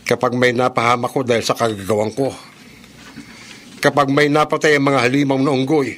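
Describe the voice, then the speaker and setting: An actor playing a guilt-ridden young scientist in a Filipino horror radio drama, earnest and troubled, with a Filipino accent. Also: male voice, mid-range voice, level tone, a little fast, fairly bright tone, lightly acted. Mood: grave foreboding. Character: guilt-ridden son, amateur chemical experimenter.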